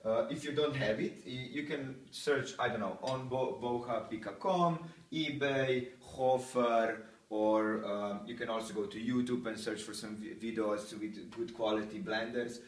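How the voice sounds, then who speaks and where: A man's voice: mid-range voice, unhurried, few pauses, distant mic. A young man, hosting a homemade cooking show in a small kitchen with loose, joking chatter. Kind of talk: playful mock cooking-show talk. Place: small home kitchen, indoors. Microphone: camera a few feet away. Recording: roomy, off-mic kitchen sound.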